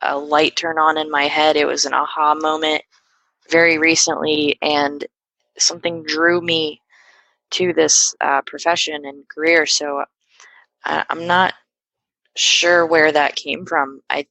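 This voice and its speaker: A woman's voice: low-pitched, little pitch movement, a little slow, creaky, pushed and bright, no echo, rough recording. A young woman guest on a podcast, talking casually and hesitantly with lots of fillers and restarts. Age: young adult. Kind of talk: casual conversational interview.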